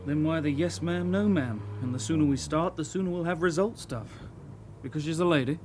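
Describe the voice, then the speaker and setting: A male actor as a young detective constable, the sidekick in a radio crime drama. His voice sits a little high, questioning and sceptical, with faint car noise behind him. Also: fairly quick, a little mellow, close to an everyday voice. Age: 20s. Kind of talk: naturalistic British radio drama acting.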